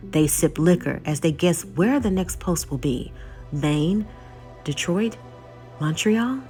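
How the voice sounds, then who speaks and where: Low-voiced woman narrating over loud music. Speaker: YouTube host recapping a TV episode. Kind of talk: scripted recap narration.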